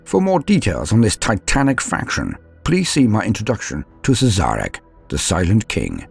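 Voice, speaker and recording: A man hosting a lore guide, reading scripted narration in a theatrical, measured and formal manner. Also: lowish voice, huge pitch swings, vocal fry, husky, soft background music. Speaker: host of a Warhammer lore guide.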